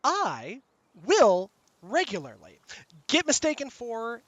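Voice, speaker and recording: A man with a high-pitched voice, hosting a game stream in casual chat and acting out the people in his stories. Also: slow pace, no room sound. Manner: animated storyteller, acts out the people in his stories. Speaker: streamer host. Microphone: voice chat call.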